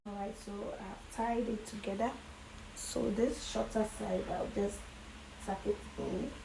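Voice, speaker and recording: A woman with a hoarse voice from days of coughing, walking viewers through a headwrap tutorial in a casual, chatty way and often checking in with "okay?". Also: medium pitch, steady tone, unhurried, noisy background. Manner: chatty, keeps adding "okay?". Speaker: tutorial host.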